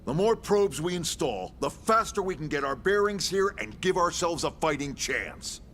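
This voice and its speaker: An actor playing a gruff, brash middle-aged military commander in a video game, with an American accent, a gravelly voice and a blunt, folksy, salty way of talking. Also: male voice, a little high, lively intonation, normal speed, fairly bright tone, a little hiss or hum. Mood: briskly explaining. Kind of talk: scripted video game cutscene acting.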